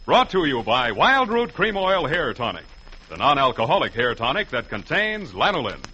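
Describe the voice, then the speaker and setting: A man's voice: medium pitch, big pitch swings, moderate pace, sharp tone, breathy edge. A male radio announcer with a polished, emphatic sales delivery in 1940s broadcast style. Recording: muffled old radio broadcast with music.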